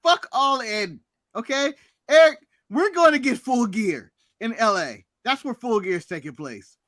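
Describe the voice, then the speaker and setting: A man's voice: extremely high pitch, unhurried, dry recording, clean studio sound. A man co-hosting a podcast, joking and swearing in casual banter. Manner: profane, joking, animated. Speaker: podcast co-host.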